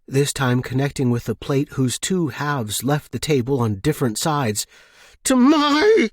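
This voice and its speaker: A man narrating scripted suspense fiction in a measured, dramatic manner, as an audiobook reader. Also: mid-range voice, darkish tone, husky, no echo, studio quality.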